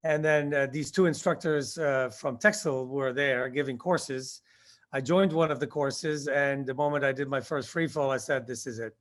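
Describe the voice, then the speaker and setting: A man in his sixties, born in Indonesia, answering interview questions about his past and recalling it haltingly with many fillers. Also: medium pitch, fairly even intonation, fairly bright tone. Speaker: guest, skydiving instructor.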